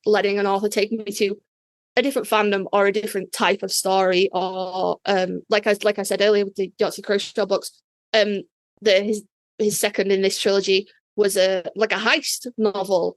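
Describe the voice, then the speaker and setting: A woman's voice: medium pitch, flat delivery, a little fast, dry recording. A woman co-hosting a podcast, talking casually and enthusiastically, with light swearing. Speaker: podcast co-host.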